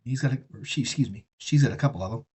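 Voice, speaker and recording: A man with a drawl rambles through loose, folksy chat on a live stream. He swears freely and drifts from one thought to the next, in a voice of middling pitch. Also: very fast, darkish tone, husky. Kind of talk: casual rambling chat while building a kit.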